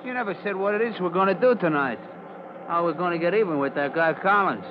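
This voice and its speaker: A man's voice: high voice, lively intonation, fast talker. Dim, grumbling young male sidekick crook; ungrammatical slang, noisy vintage radio drama sound. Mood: sulky, insistent. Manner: slangy, ungrammatical street talk. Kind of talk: old-time radio drama acting. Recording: muffled, noisy old radio recording.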